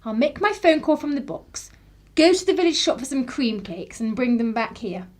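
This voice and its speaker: A woman's voice: sits high, very animated, normal speed, darkish tone. An actress playing a polite, chatty, inquisitive English woman visitor in a radio drama, with a genteel manner and an English accent. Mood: conspiratorial.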